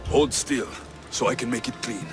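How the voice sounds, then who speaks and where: A man's voice: mid-range voice, normal speed, natural voice. Man acting a resolute mercenary hero, over music. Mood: somber. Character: male mercenary hero, resolute, protective, terse. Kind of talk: dramatic video game acting.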